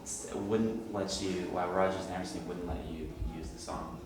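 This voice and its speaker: Low-voiced interviewer off-mic in a crowd. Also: male voice, moderate pace. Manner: direct, informal questioning. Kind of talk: documentary interview questions. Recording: distant, echoey, crowd chatter.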